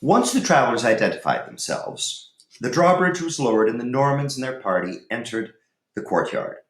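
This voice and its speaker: A man teaching and reading aloud to students in a deliberate, instructive way, with pauses. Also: mid-range voice, sing-song. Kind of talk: lesson talk and chapter read-aloud. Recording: echoey, reverberant room.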